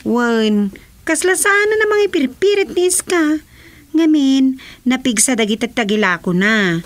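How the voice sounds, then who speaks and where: An actress playing a disgruntled, complaining market vendor in a radio drama, with an Ilocano Filipino accent. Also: female voice, sits high, expressive, measured pace. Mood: aggrieved. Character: disgruntled, complaining woman vendor gossiping about a rival. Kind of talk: comedy radio drama acting.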